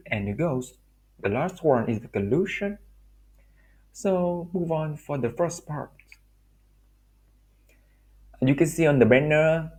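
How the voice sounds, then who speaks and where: Vietnamese-accented man presenting in halting English. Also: mid-range voice, a little mellow, low-quality recording. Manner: halting, broken English with frequent restarts. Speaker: language teacher. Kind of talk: recorded slide presentation.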